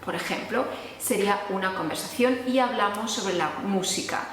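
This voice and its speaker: A woman's voice: medium pitch, moderate pace, fairly bright tone. Woman from Spain teaching, echoey room. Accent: Spanish from Spain. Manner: explanatory, acts out sample dialogues. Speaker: Spanish teacher. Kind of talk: casual online lesson. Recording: echoey room with long reverb.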